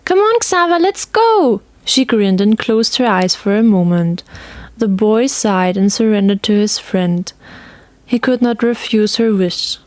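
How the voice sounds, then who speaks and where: A woman's voice: mid-range voice, a little slow, a little mellow, no room sound. A woman reading scripted story narration as the narrator of an audio tale.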